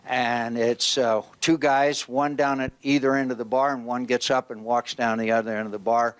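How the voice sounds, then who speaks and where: A man's voice: mid-range voice, flat delivery, moderate pace, clean tone, no echo. A man telling a bar joke in a playful way, doing the characters' lines. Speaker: joke teller.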